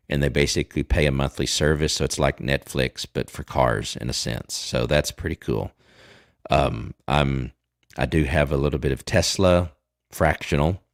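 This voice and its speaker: Man, forties, Southern Texas accent, extremely deep voice, rambling casual chat, dry close sound. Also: dry recording. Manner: rambling, many fillers and restarts. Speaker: livestream host.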